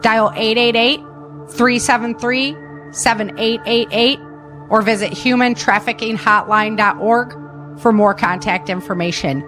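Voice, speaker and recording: A woman's voice: fairly high pitch, steady tone, measured pace, fairly bright tone, faint music behind. A woman reading a serious, scripted public service announcement.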